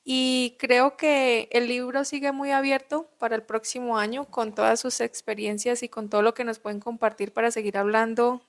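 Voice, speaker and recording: A woman co-hosting a podcast, speaking in a measured, gently encouraging way. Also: on the high side, flat delivery. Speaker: podcast co-host. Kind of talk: casual podcast conversation.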